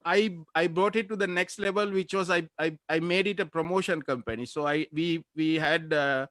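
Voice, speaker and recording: A man's voice: fairly high pitch, normal speed, a bit bright, no echo. A man from Sri Lanka, a guest in a casual interview, talking loosely with many fillers and restarts. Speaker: interview guest, music podcaster.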